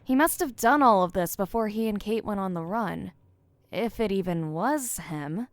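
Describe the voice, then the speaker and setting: A woman's voice: medium pitch, expressive, moderate pace, no echo, lightly acted. An actress voices a young woman explorer in an audio drama. She is wary and exasperated, muttering wryly in a mid-range voice. The sound is dry. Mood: wary, puzzled. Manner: muttering, wry. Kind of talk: dramatized fan fiction podcast.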